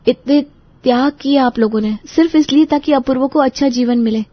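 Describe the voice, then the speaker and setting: A woman's voice: sits high, a little fast, no room sound. A woman playing a polite, earnest young bride-to-be in a family audio drama, with slightly dull sound. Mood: heartfelt. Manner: respectful, earnest. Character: polite, earnest young bride-to-be meeting her sisters-in-law.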